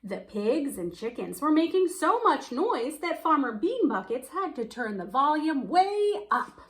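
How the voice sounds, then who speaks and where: A woman's voice: sits high, sing-song, unhurried. A woman reading a picture book aloud, telling the story expressively and stretching words for emphasis. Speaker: children's storybook reader.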